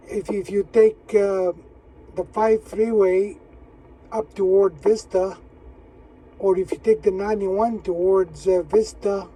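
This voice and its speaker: A man in casual ham radio chat, folksy, often repeating his phrases with drawn-out pauses. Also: high voice, mellow, far from the mic, a little hiss or hum, low-quality recording. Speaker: amateur radio operator.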